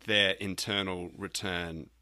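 A man's voice: deep voice, steady tone, slow pace, sharp tone, no room sound. A man hosting a podcast, putting conversational questions to his guest. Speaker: podcast host, interviewer.

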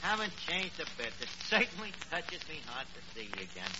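An actor playing a dim, bluffing, uneducated city man with a halting, muddled delivery, in 1940s radio comedy style. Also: on the high side, very animated. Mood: sheepish. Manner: halting, muddled, mangling words. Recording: muffled, noisy old radio broadcast.

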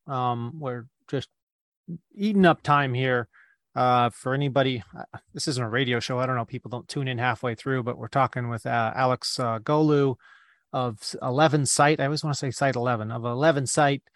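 A man's voice: medium pitch, fairly quick, fairly bright tone, no echo, studio quality. A man hosting an interview podcast, loose and joking, with plenty of fillers as he sets up questions. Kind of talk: casual interview.

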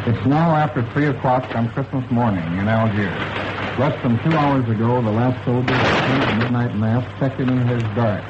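An American man of medium pitch narrates a scripted 1940s radio news report as a war correspondent. The sound is dull and band-limited, with clear radio noise throughout. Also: normal speed. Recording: dull, noisy wartime shortwave radio relay.

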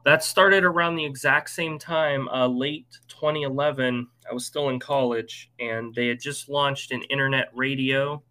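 American male musician, interview guest, conversational delivery with a bright, forward edge. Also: medium pitch, fairly even intonation, moderate pace, hardly any pauses.

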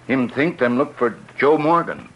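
A man's voice: medium pitch, big pitch swings, moderate pace, lo-fi sound, slight background noise, lightly acted. An actor playing a stoic, loyal male sidekick who speaks clipped broken English in an old-time radio western. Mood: stoic, terse.

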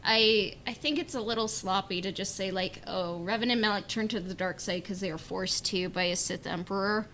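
A woman's voice: medium pitch, steady tone, moderate pace, few pauses, fairly bright tone, poor audio. A woman chatting casually on a fan discussion podcast, informal and hedging, with illustrative asides. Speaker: podcast panelist. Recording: clear background noise.

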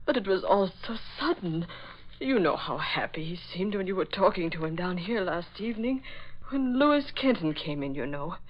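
A woman's voice: fairly low pitch, expressive, moderate pace. An actress playing an anxious, genteel society mother in an earnest 1940s radio drama style. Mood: anxious, bewildered. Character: worried, genteel society woman, an adoptive mother. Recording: dull old radio recording.

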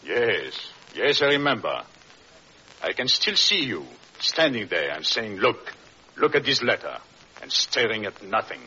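An actor playing a controlling, coldly menacing husband in a 1940s radio drama, grave and deliberate, feigning concern. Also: male voice, on the low side, sing-song, pushed and bright, husky, full character voice. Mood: domineering. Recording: hissy, dull old radio recording.

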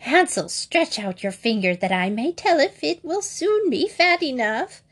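A woman's voice: high voice, sing-song, measured pace, pitched above the performer's own voice. A woman doing a gloating old witch. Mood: impatient. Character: wicked old witch, bony and greedy.

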